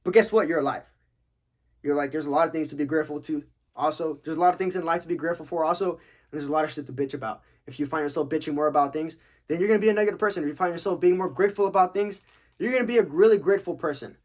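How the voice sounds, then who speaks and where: A young man from Texas with a slightly high voice chats as a podcast host. He takes listener questions in a loose, joking manner, peppered with slang and swearing. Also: rapid-fire. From United States, Texas. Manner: laid-back, slangy, profane, joking. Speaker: podcast host, active-duty military. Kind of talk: casual Q&A podcast chat, answering listener questions.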